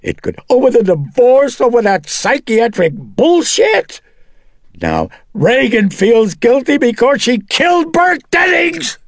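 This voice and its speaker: Man acting husky, overwrought, despairing mother, pitched up. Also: very animated, mellow, no room sound, clean studio sound, full character voice. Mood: hysterical, sobbing. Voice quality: husky, quavery. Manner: emotional, haltingly tearful, erupting into shrieks. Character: distraught, despairing, furious mother of a troubled child.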